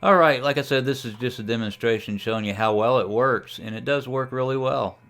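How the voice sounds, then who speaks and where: A man's voice: medium pitch, fairly quick, a bit bright. A man giving a casual, unscripted screen-share tutorial as a radio hobbyist, thinking aloud as he operates. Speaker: amateur radio hobbyist demonstrating software.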